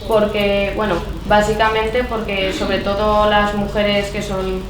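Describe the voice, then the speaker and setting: Flat-voiced young woman in an echoey hall. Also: medium pitch, takes it slowly, crowd noise. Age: young adult. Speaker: speaker at a debate. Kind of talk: unscripted public talk. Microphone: far from the microphone. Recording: echoey hall, distant mic.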